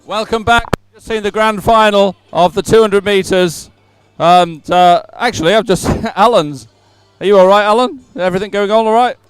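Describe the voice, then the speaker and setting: Male live sports presenter with a high, clean, resonant voice, ad-libbing between events. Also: normal speed, few pauses, clear, resonant tone, no echo, rough recording.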